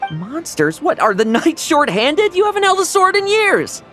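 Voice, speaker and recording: A man's voice: very high-pitched, wildly swooping pitch, normal speed, fairly bright tone, faint music behind, full character voice. A man voicing a dry, wry, reluctant knight in a game cutscene.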